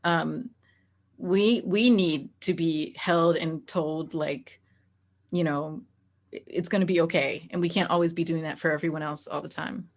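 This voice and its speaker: A woman's voice: deep voice, steady tone, measured pace. A woman speaking as an interview guest in a candid, reflective and informal conversational way.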